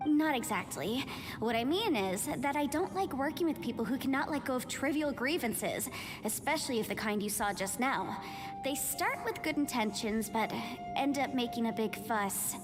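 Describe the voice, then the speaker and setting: An earnest young legal advisor from a video game. Her high voice is thoughtful and animated. Music plays clearly behind her. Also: moderate pace. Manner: thoughtful, articulate.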